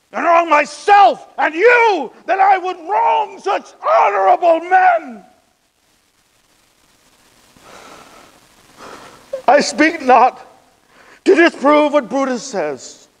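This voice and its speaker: A man performing a classical stage monologue as a grieving, impassioned, bitterly ironic Roman funeral orator, declaiming deliberately with weighted pauses. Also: very high voice, heavily acted. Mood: simmering, defiant. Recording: long hall reverb.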